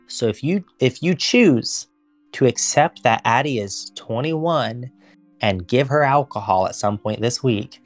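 A woman's voice: very deep voice, lively intonation, measured pace, no room sound, faint music behind. A woman narrating as game master and plainly explaining the rules of a tabletop game.

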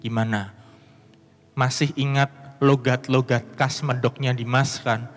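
A man's voice: medium pitch, level tone, slow pace. A man with a Banyumas Javanese accent, preaching and reading scripture aloud with emphatic, rising delivery in a church. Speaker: pastor. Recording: long church hall reverb.

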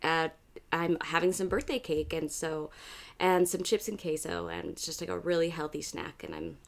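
Hoarse, raspy woman hosting a podcast. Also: deep voice, animated, normal speed. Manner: chatty and rambling, with many fillers. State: hoarse after karaoke. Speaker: podcast host. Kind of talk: casual chat among friends.

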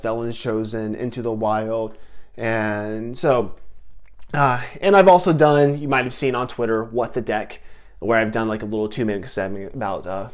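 A young man talks through a laid-back solo podcast recorded at home. He rambles a bit, restarts his sentences and leans on filler words. His voice sounds a little stuffy from a lingering head cold. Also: mid-range voice. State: getting over a head cold. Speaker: podcast host. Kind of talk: casual solo podcast talk.

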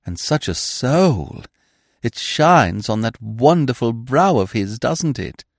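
A man voicing a sentimental, gushing woman who coos slowly and softly. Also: medium pitch, big pitch swings, no echo, clean studio sound, pitched above the performer's own voice, exaggerated voice. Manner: cooing, slow and breathy-soft. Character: gushing, sentimental female poetess.

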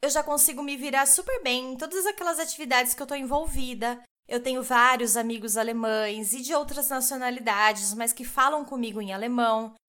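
High-voiced Brazilian woman podcaster. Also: brisk, few pauses, pushed and bright. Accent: Brazilian Portuguese. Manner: chatty, addresses listeners directly; enthusiastic, laughs often. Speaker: podcast host. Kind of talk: solo podcast monologue.

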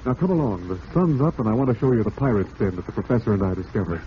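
An actor playing a smooth-talking, falsely cheerful husband with murder in mind, in old-time radio drama style. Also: male voice, lowish voice, big pitch swings, very fast. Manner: suave, falsely cheerful, darkly ironic. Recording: dull, noisy vintage radio recording.

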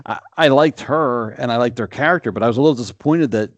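A man's voice: mid-range voice, fast, dry recording, dull audio. A man co-hosting a casual podcast, talking in a loose, rambling way full of fillers. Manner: rambling, filler-heavy, easy laughs. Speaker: podcast co-host. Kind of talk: casual chat.

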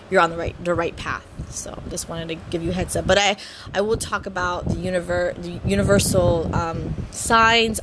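A woman's voice: fairly low pitch, noisy background. A woman in her thirties from Hawaii, chatting casually as she introduces her podcast at home, stammering and restarting often with giggles. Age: 30s. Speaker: podcast host.

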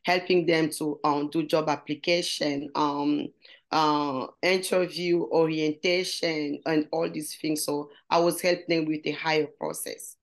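A woman's voice: deep voice, flat delivery, a little slow. A middle-aged woman from Congo with a Congolese French accent, telling her story in an interview and searching earnestly for English words. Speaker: guest. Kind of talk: interview conversation.

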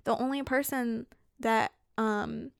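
A woman's voice: fairly high pitch, steady tone, slow, gravelly, clean tone, no echo, studio quality. A woman in her late twenties co-hosting a podcast, chatting casually and joking about herself, with lots of "like". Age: late 20s. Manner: chatty, self-mocking, lots of "like". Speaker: podcast co-host. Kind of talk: casual chat.

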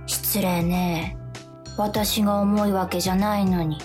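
A woman playing a soft, drowsy, gently coaxing female demon in a radio drama. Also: medium pitch, fairly even intonation, a little slow, soft background music, close to an everyday voice. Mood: hurt, reproachful. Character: languid, gently tempting female demon of post-holiday blues.

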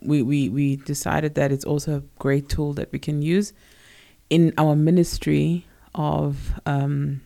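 A woman's voice: sits low, flat delivery, measured pace, vocal fry, mellow. A woman from co-hosting a casual podcast, talking in a chatty, hesitant way. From South Africa. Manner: chatty, hesitant, many fillers and restarts. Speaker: podcast co-host.

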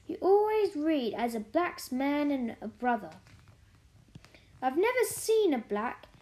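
A woman's voice: high voice, big pitch swings, slow, mellow, exaggerated voice. A woman voicing a good-humoured, plain-spoken young housemaid who talks in broad Yorkshire dialect, for a storybook. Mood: soothing, chatty.

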